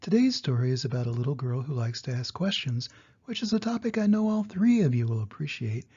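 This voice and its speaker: An older man, a grandfather with a husky, gravelly voice, reading a children's storybook and gently explaining it to young listeners. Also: mid-range voice, sing-song, brisk, muffled recording.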